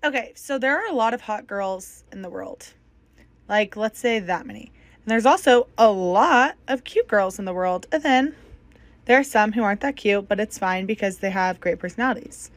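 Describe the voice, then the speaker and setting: A woman's voice: mid-range voice, lively intonation, moderate pace, no room sound. A young woman giving a chatty, matter-of-fact explainer into a close phone mic. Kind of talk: casual explainer.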